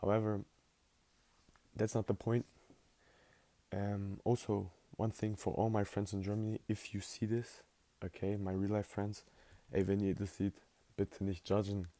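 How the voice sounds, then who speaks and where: Deep gravelly teenage man, laid-back. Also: little pitch movement, unhurried, pauses often, warm tone, dry recording. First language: not English. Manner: rambling, filler-heavy, laid-back. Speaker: vlogger. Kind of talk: casual spoken journaling monologue.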